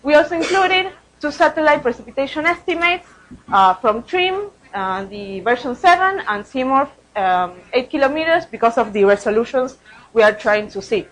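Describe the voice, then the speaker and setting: A woman's voice: sits high, expressive, moderate pace, fairly bright tone, poor audio. A woman researcher presenting study results at a conference, speaking hesitantly and often repeating words.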